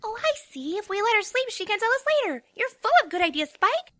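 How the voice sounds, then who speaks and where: A woman's voice: very high-pitched, animated, fast, a bit bright. A woman voicing a hyperactive, bubbly female pony who squeals and bounces through her lines. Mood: excited. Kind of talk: fan dramatic reading.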